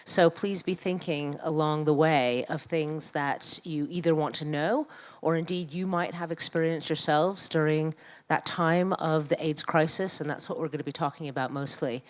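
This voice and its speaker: A middle-aged woman with a New York accent speaking conversationally at a public talk, halting and thoughtful. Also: deep voice. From New York, USA. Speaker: panel speaker, academic and activist. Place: live event room at a museum.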